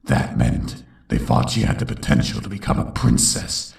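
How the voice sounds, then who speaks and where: A man playing a brooding unicorn villain who solemnly narrates his tormented youth in a gravelly voice, as in a dramatic comic dub. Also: on the low side, big pitch swings, moderate pace, roomy sound, distant mic, exaggerated voice. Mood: ominous, regretful. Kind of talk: scripted first-person dramatic narration.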